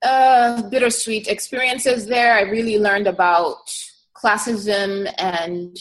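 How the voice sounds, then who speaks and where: A woman's voice: medium pitch, fairly even intonation, rough recording. Reflective middle-aged woman from East Africa. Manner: reflective and earnest, pausing often with fillers. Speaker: herbalist guest. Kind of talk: casual conversational interview.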